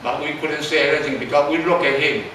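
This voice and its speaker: Older Taiwanese pastor in an echoey hall. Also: male voice, on the high side, fairly even intonation, fairly bright tone. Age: 70s. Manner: halting, repeats words, asks the audience questions. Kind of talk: storytelling sermon. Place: church hall. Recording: echoey church hall from far off with background noise.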